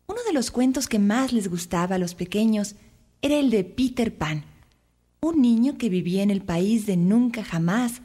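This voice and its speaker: A woman storyteller reads a children's tale in a fairly high voice. Her intonation is very animated and her phrasing is careful and expressive. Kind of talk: scripted children's story narration.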